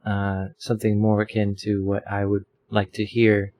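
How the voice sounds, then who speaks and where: A man's voice: fairly low pitch, completely flat delivery, normal speed, darkish tone. Rambling man explaining his mic settings. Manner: rambling, self-deprecating, many fillers. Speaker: streamer explaining audio settings. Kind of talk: casual tutorial. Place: home room with a fan running. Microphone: desk microphone.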